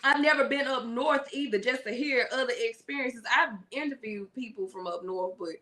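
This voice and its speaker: A woman's voice: fairly high pitch, moderate pace, sharp tone. A woman with a Southern American accent hosting a casual interview, encouraging and giggling often. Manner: encouraging, giggly, self-described country-sounding. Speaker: interview host.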